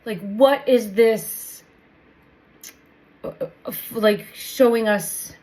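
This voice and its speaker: A woman's voice: on the high side, extremely slow, a little mellow. Tarot host, woman, a little stuffy from a cold, chatty, repeats herself. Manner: chatty, meandering, repeats phrases. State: recovering from a cold. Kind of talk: casual tarot reading monologue.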